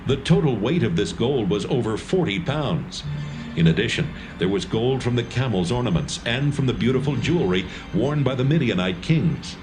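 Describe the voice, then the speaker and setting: A man narrating a dramatised scripture reading with a measured storytelling delivery. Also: medium pitch, animated, over music.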